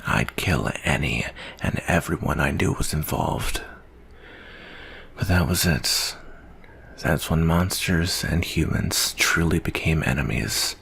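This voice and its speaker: A man playing a jaded, guilt-ridden monster-hunting soldier in an ASMR roleplay monologue, speaking in a slow, brooding, weary way with a gravelly, husky voice. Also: a bass voice, lively intonation, a bit bright, full character voice. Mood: grim, bitter.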